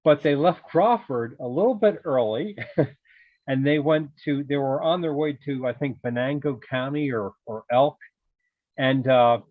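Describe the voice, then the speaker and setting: A man's voice: medium pitch, dry recording. Man on a tinny, narrowband call. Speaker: amateur radio club member. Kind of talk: casual anecdote in online meeting.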